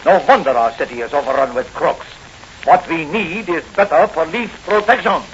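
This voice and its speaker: An actor playing a bold, commanding masked crime fighter in a declamatory 1940s radio style. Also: male voice, on the high side, normal speed, lightly acted. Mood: indignant. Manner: declamatory, thinking aloud. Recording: muffled, noisy old radio recording.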